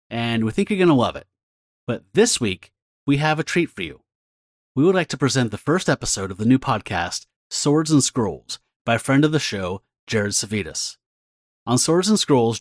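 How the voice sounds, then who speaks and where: Man, podcast host, medium pitch, scripted announcement delivery, dry studio-tight sound. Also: no echo.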